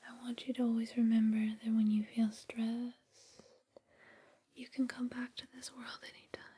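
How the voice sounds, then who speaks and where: A woman whispering breathily and slowly in a soothing, reassuring ASMR guided relaxation roleplay. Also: a little high, flat delivery, mellow, husky.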